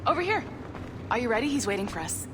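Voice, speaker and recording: An actress playing a chatty, enthusiastic office supervisor in a video game, informal and bubbly. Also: female voice, a little high, very animated, fast talker, background noise. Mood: excited. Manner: bubbly, informal, self-correcting. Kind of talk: naturalistic video game acting.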